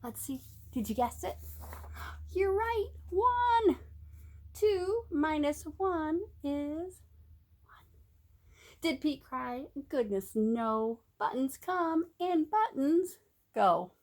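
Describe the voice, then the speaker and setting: Slow, high-voiced woman reading to children. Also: lively intonation, darkish tone. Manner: sing-song refrains, asks the child listener questions. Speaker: teacher reading to young children. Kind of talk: animated picture-book read-aloud.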